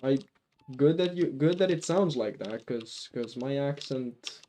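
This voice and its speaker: A man's voice: medium pitch, a little slow, warm tone, a little hiss or hum. A man from Finland with a light Finnish accent, chatting casually while playing a game on a live stream, rambling with many restarts. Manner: rambling, lots of restarts and fillers.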